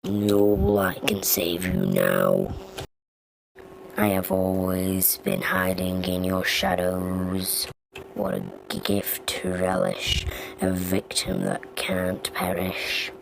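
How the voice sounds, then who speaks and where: Woman putting on a deep, gravelly nightmare monster. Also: very animated, slow, background noise, heavily acted. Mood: vengeful, gloating. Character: deep, vengeful, tormenting nightmare animatronic. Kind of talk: fan recreation of horror game voice lines.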